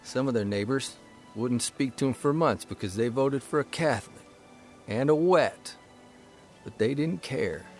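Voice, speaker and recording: A man as an earnest young newspaper columnist, fond and measured, mid-pitched, faint music behind. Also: lightly acted. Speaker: actor reading a column in character. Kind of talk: scripted narration and radio drama acting.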